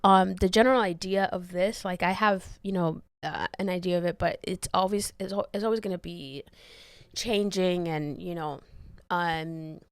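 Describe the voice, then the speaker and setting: A woman's voice: fairly low pitch, fairly even intonation, moderate pace, smooth, no room sound. Young woman, podcast host, from the Dominican Republic, candid rambling, swears a lot, dry close sound. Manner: candid, swears freely, trails off and restarts, laughs often. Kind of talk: rambling solo podcast chat. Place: bedroom, sitting on bed. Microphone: handheld mic.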